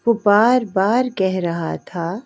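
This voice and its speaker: A woman reading a scripted moral story as its storyteller, in a devout, earnest manner with unhurried phrasing. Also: medium pitch, darkish tone.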